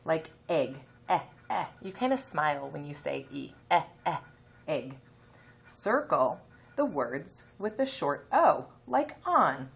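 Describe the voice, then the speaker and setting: Female elementary teacher, read-aloud lesson: lively intonation, unhurried pace, instructional delivery. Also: sits low, creaky. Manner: instructional, sounds out letters and words for children.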